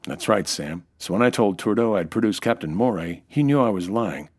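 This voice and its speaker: A man's voice: fairly low pitch, animated, brisk. A man with an American accent playing a dry, wisecracking, hard-boiled nightclub owner in a 1940s-style radio detective drama. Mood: explaining. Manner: dry, wisecracking, world-weary.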